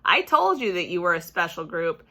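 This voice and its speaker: An upbeat, encouraging schoolteacher, a man as a woman reader plays him, addresses his class in a bright voice. The delivery is that of a children's storybook. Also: on the low side, very animated, normal speed, full character voice. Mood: proud. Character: cheery, encouraging male schoolteacher.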